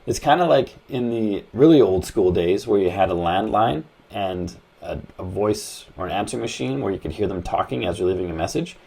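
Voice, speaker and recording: Low, gravelly man giving tutorial. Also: expressive, a little fast, few pauses, a little mellow. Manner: plain-spoken, instructional, conversational. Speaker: tech tutorial host. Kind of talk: casual step-by-step phone tutorial narration.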